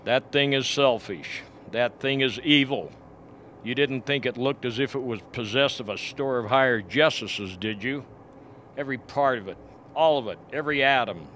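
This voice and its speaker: A man playing a grave, earnest expedition doctor in a radio play, reasoning in a measured way. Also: mid-range voice, a bit bright, natural voice. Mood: intense, grim. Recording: faint background noise.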